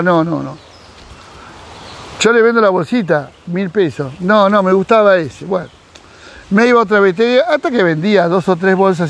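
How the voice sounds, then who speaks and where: Man, Argentine Spanish accent, middle-aged, high-pitched, animated, casual vlog, outdoors in a garden. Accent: Argentine Spanish, Mar del Plata. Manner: animated, gesturing, acts out quoted dialogue. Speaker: fish breeder and YouTuber. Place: outdoors in a sunny garden by a pool. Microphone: camera at close range.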